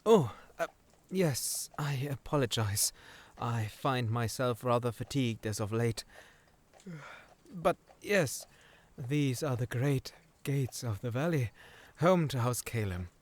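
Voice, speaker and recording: A man's voice: medium pitch, animated, takes it slowly. A man playing a polite, earnest young scholar in a fantasy audio drama, speaking formally and a little hesitantly. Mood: tired, apologetic.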